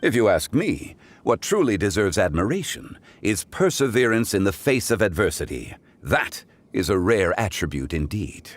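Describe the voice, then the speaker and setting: An actor playing a composed, erudite, courteous gentleman in a video game, speaking in formal, measured, eloquent phrases. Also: lowish voice, very animated. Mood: admiring, thoughtful. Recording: music behind the voice.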